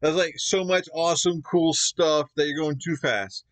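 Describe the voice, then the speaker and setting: A man's voice: on the high side, steady tone, normal speed. A man in his forties from Canada, reacting enthusiastically at home on a desk mic. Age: 40s. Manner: enthusiastic, exclamatory. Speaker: reaction video host. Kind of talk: casual commentary. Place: home room, indoors.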